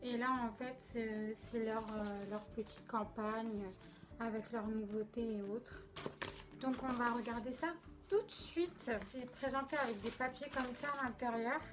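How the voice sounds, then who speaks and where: A woman's voice: a little high, steady tone, measured pace, poor audio. Chatty young Frenchwoman unboxing, slight cold. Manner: chatty, filler-heavy, giggly. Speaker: beauty vlogger. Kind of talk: casual unboxing chat.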